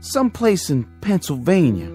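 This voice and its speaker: A high-voiced desperate soldier. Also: male voice, huge pitch swings, moderate pace, dark tone. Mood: weary. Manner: urgent, pushing. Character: desperate young Confederate soldier Dogger. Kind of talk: old-time radio drama acting. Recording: old radio recording with music.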